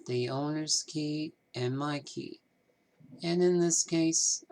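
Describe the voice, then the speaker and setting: A man voicing an evasive, troubled small-town bank manager in a game, speaking in a measured, formal way. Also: mid-range voice, poor audio. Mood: guarded, evasive. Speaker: amateur voice actor. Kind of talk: scripted game character dialogue, fan performance.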